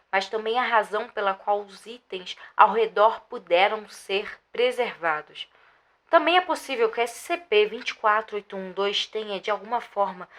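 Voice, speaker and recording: A woman with a Brazilian Portuguese accent playing a researcher, reading a scripted scientific report in a formal, measured way. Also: medium pitch, bright, forceful tone, natural voice. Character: female researcher presenting formal findings on an anomaly. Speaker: narrator.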